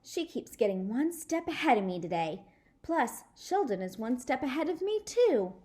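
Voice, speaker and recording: A woman voicing an excitable, blunt little schoolgirl from a storybook, with a dramatic childlike manner. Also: sits high, moderate pace, voice pushed up. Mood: grumpy.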